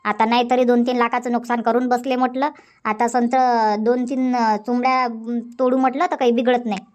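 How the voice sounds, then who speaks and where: A woman's voice: fairly high pitch, level tone, fairly quick, close to an everyday voice. A woman voicing a bossy, chatty village farm woman in a cartoon comedy, insistent and scolding, with a Varhadi Marathi accent from Vidarbha. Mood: chatty, grumbling. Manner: insistent, scolding, rhetorical questioning.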